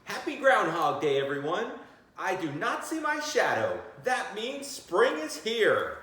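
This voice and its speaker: A man's voice: high voice, huge pitch swings, unhurried, pushed and bright, voice pushed up, exaggerated voice. A man voicing an earnest groundhog weather forecaster from a storybook, announcing like a schoolteacher. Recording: very echoey, roomy sound.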